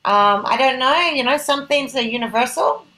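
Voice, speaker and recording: A middle-aged woman with a fairly high voice, chatting as the moderator of an online gathering. She rambles a little and speaks informally, poking gentle fun at herself over a video call. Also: normal speed, rough recording. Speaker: event moderator. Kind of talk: casual event wrap-up on a group video call.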